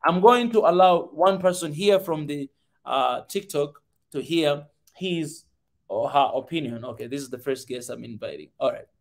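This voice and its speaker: A man with a Tanzanian English accent hosting a livestream and talking straight to his viewers, energetic, often repeating words as he goes. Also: on the high side, normal speed, creaky. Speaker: livestream host. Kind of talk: casual livestream talk.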